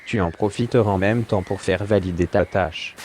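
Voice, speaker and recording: A man's voice: low voice, normal speed, darkish tone, slight background noise, close to an everyday voice. A man playing a friendly, weary captive about to go home, in an audio drama with an easygoing manner.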